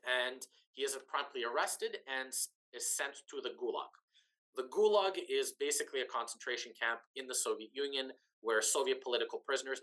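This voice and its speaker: Bright-voiced man explaining. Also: medium pitch, low-quality recording. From Canada, Ukrainian diaspora family. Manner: explanatory, repeats and rephrases for emphasis. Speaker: interview guest, businessperson. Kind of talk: long-form conversational interview, recounting family history. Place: remote video link.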